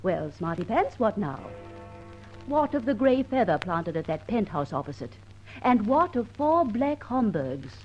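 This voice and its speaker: A woman's voice: medium pitch, sing-song, unhurried, faint music behind. An actress playing an eager, observant British woman, a sleuth's easily exasperated accomplice, bantering insistently in an old-time radio detective drama. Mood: intrigued, triumphant. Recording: dull old radio recording.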